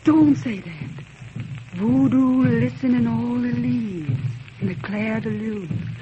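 An actress playing a timid, superstitious young enslaved wife in an old radio drama. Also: female voice, a little high, animated, slow pace, over music. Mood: fearful. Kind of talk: 1940s radio acting. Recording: dull, noisy old radio broadcast.

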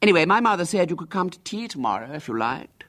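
A man's voice: a little high, big pitch swings, fast talker, fairly bright tone, voice pushed up. Anthony Martin, a man voicing a pompous famous boy. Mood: imperious. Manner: boastful, haughty. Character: conceited famous young boy, pompous and self-important.

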